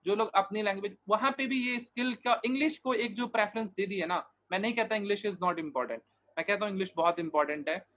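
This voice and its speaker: A man's voice: sits high, fairly quick, fairly bright tone. A man being interviewed, talking earnestly and at length, mixing English phrases in, with frequent restarts and fillers. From Bihar, India. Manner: mixes English words and phrases into his speech. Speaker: interview guest, digital marketer. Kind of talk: casual interview talk.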